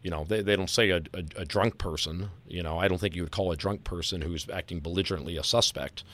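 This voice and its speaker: Low-voiced man hosting a discussion. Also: quick pace, fairly bright tone. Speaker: podcast host. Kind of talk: analytical discussion of audio clips.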